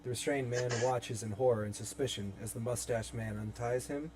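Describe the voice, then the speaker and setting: Man narrating a horror script, mid-pitched. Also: fairly even intonation, moderate pace, background noise. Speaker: narrator reading stage directions.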